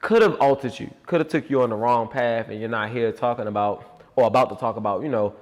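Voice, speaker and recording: A man's voice: mid-range voice, fast talker. Upbeat man hosting, roomy sound. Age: 30s. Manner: upbeat, playful, repeats words when excited. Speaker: host. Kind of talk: casual interview. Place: restaurant and lounge. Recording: reverberant room.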